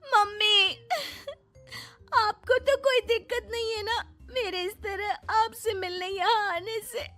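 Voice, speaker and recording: A woman's voice: extremely high pitch, fairly even intonation, slow pace, bright, forceful tone, faint music behind, exaggerated voice. A woman playing a clingy young married daughter in a cartoon story, plaintive and wheedling. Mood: tearful pleading.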